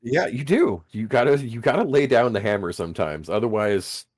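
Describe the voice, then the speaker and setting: A man game master chatting casually, animated and laughing often, swearing freely, with a booming voice. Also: normal speed, dry recording. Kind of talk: casual podcast chat.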